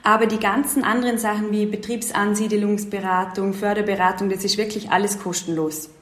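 A woman's voice: mid-range voice, flat delivery, brisk. Eager young woman in an echoey room. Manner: eager, leans on filler words while explaining. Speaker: event and project manager, interview guest. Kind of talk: casual interview talk. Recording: roomy echo.

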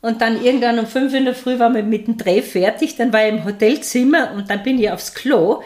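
A woman's voice: on the high side, a little fast, a little mellow. A middle-aged woman from Styria with an Austrian accent, an actress chatting as a guest in an interview and telling stories with many tag questions. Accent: Styrian Austrian German. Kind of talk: casual interview chat.

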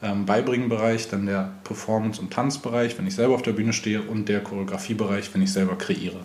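A man's voice: lowish voice, fairly even intonation, fairly quick, darkish tone, echoey room. A man in his thirties from Berlin, a podcast guest chatting casually, thinking aloud with fillers and laughing easily. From Berlin, Germany. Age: 30s. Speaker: podcast guest, dance coach.

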